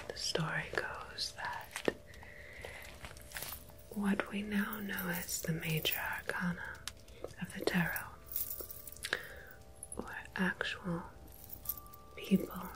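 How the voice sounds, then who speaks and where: A woman playing a mysterious, gentle tarot medium in an ASMR roleplay, speaking in a soft breathy whisper with deliberate pauses. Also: fairly low pitch, steady tone, poor audio. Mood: mystical, solemn. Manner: slow, hushed, deliberate, with long pauses. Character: mysterious gentle female tarot medium between two worlds.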